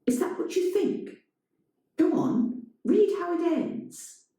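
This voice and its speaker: A woman's voice: very high-pitched, big pitch swings, takes it slowly, warm tone, recorded from a distance, pitched above the performer's own voice, exaggerated voice. A woman voicing a defiant woman theatre producer in a dramatised short story, pressing and challenging. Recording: strongly echoing, roomy sound.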